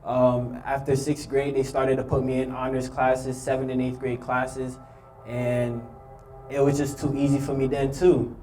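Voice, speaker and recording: A young man from Haiti nervously telling his own story to an audience, with many pauses and ums. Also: medium pitch, completely flat delivery, warm tone, soft background music, poor audio. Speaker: youth speaker sharing his story. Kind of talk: personal testimony speech.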